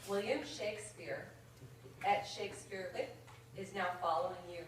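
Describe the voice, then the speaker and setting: A woman poet reading her own comic verse aloud at a conference, with measured comic timing. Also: medium pitch, a little hiss or hum. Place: indoor conference reading room. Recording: very echoey room from far off. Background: audience laughter.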